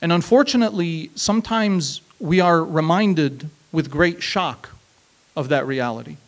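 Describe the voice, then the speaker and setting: A man in his thirties tells a personal story from a stool on a small open-mic stage, holding the microphone close. His voice sits a little high for a man, and he speaks in a measured, reflective way, returning to phrases for emphasis. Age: 30s. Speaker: spoken word performer. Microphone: handheld mic.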